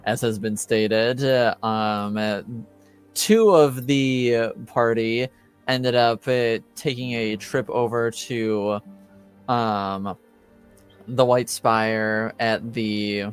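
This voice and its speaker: A man's voice: on the low side, clear, resonant tone. A man hosting a tabletop game as game master, recapping casually and often searching for words.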